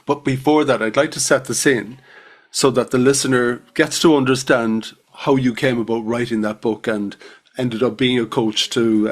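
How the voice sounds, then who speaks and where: Easygoing middle-aged male podcast host. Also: medium pitch. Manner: jokey and informal, tells anecdotes. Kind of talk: conversational podcast interview.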